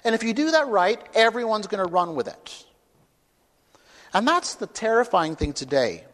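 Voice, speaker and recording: A man lectures to an audience in an emphatic, driving way, pushing his points to loud peaks. His voice sits a little high for a man. The sound rings with the long reverb of a large hall. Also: very animated, normal speed. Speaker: speaker at a talk. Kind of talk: public lecture with questions.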